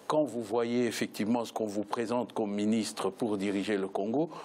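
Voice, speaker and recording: A man's voice: on the low side, a little mellow. A man giving political analysis as a panel guest, often repeating words as he builds his argument. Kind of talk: political analysis in spoken debate.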